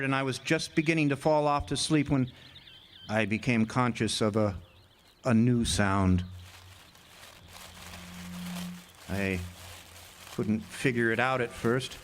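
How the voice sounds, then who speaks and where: A man playing a haunted lighthouse keeper, narrating a horror radio play with intense, deliberate suspense. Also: medium pitch, lightly acted. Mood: tense, uneasy. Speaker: narrator and lead actor. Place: outdoor amphitheater stage. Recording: noisy outdoor stage recording with birdsong.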